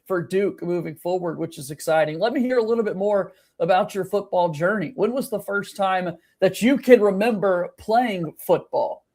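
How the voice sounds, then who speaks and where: A man's voice: sits high, normal speed, hardly any pauses, a little mellow. A man hosting a sports podcast, asking interview questions in an upbeat, friendly way. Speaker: podcast host.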